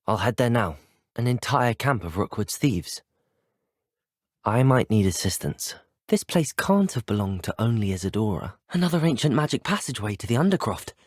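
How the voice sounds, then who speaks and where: Husky, well-spoken young British wizard student. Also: male voice, medium pitch, sing-song, moderate pace, no room sound, clean studio sound. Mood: curious, purposeful. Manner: well-spoken, courteous, formal phrasing. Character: polite, earnest, brave teenage male wizard student. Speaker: video game voice actor. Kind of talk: scripted game dialogue.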